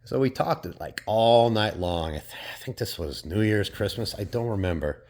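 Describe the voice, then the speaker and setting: A man's voice: fairly low pitch, animated, normal speed, a little mellow. A man from Portugal talking in a casual, reflective vlog monologue, rambling and hesitant, with many fillers and casual swearing. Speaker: vlogger.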